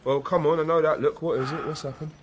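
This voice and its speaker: An actor playing a teasing but principled police detective, talking in a bantering, conversational way. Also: male voice, a little high, steady tone, quick pace, a little hiss or hum, lightly acted. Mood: sympathetic. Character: teasing, principled male police detective, Sean, junior partner. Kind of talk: naturalistic radio drama dialogue.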